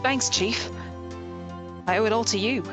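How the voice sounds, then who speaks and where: Woman voicing a young rookie lawyer guy in a game, earnest and hesitant, high voice, music under it. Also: a little slow, close to an everyday voice. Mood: relieved, grateful. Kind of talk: video game voice-over.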